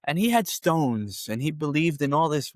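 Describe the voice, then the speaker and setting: A man tells stories with a lively, swooping pitch. His delivery rambles casually, full of "you know" asides. The sound is dry and close, with no room echo. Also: moderate pace. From Philadelphia, USA. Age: 50s. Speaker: podcast guest. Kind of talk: casual conversational storytelling.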